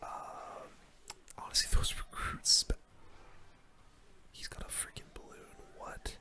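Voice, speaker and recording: A gaming host doing ASMR commentary in a soft, breathy whisper, with casual gamer slang, close to the microphone. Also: takes it slowly.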